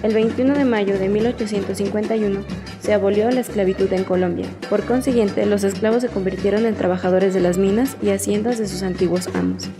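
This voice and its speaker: A woman reads documentary narration in a measured, informative tone at a mid pitch. Background music plays clearly beneath her voice. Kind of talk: scripted narration.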